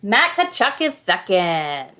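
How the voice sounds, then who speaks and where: A woman's voice: mid-range voice, very animated, slow, pushed and bright. A woman hosting a hockey trivia quiz on a podcast in casual, conversational talk.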